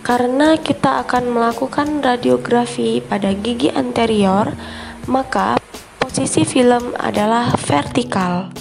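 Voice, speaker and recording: A young woman reading scripted instructional narration, one step after another. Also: a little high. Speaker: student demonstrating dental radiography procedure. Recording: music clearly behind the voice. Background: background music.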